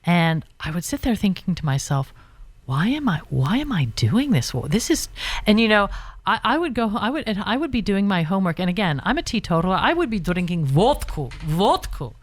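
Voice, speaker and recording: A woman's voice: mid-range voice, big pitch swings, a little fast, dry recording. A woman guest on a call-in radio show, chatty and rambling as she tells stories. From Britain, Bahamas and California.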